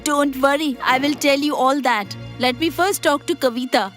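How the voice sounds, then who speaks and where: A woman as a high-voiced, commanding cartoon mother-in-law. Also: normal speed, a bit bright. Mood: stern, scolding. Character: commanding mother-in-law testing her daughters-in-law. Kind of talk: dubbed moral-story cartoon dialogue. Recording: music behind the voice.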